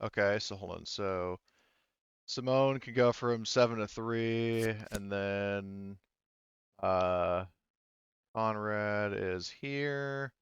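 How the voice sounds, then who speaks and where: A man running a tabletop roleplaying game as game master, talking casually and haltingly as he thinks aloud. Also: lowish voice, smooth, no room sound, lo-fi sound.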